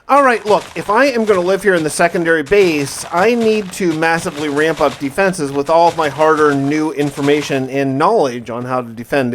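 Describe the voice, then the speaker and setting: Theatrical man with a higher-pitched voice. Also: slight background noise. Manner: theatrical, emphatic, with drawn-out words. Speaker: gaming video host. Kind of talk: dramatic first-person survival diary narration of gameplay.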